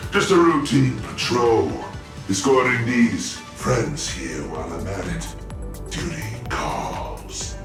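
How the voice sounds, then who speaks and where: An actor playing an easygoing young patrol guide with a laid-back, breezy way of talking. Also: male voice, medium pitch, expressive, drawn-out words, reverberant, distant mic, music in the background. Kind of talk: game voice acting.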